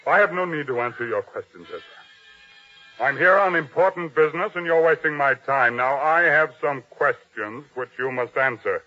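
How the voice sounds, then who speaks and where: Cocky bossy king's captain, old time radio drama, hard bright voice, muffled lo-fi sound. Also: fairly high pitch, animated, moderate pace, faint music behind. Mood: stern, interrogating. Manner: commanding, taunting, self-important. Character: proud, domineering male captain of King Herod's guard.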